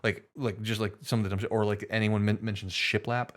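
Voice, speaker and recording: A man's voice: lowish voice, flat delivery, brisk, fairly bright tone. A man co-hosting a casual podcast, chatty and profane, with a lot of filler likes and repeated words. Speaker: podcast co-host.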